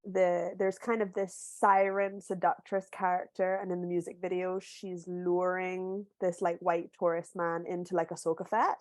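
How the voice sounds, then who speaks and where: Woman from barbados, bit hoarse w a cold, author chatting on a video call, dry sound, lots of little restarts. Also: on the low side, little pitch movement, normal speed, hardly any pauses, a little mellow, no room sound. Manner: thoughtful, storytelling, many small restarts. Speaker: author guest. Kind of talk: casual book club video call conversation.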